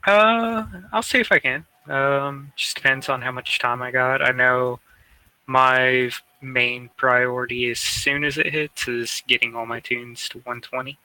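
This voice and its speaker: A man chatting casually about gaming as a podcast co-host, in a hesitant, halting manner. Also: medium pitch, steady tone, bright, forceful tone, clean tone, rough recording.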